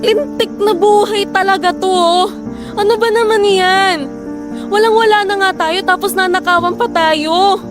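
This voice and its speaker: Woman acting as weary, high-voiced Filipino wife. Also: fairly even intonation, a little slow, over music. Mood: upset, despairing. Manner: complaining, pleading, emotive. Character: weary, frustrated wife worried about household money. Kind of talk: Filipino audio drama acting.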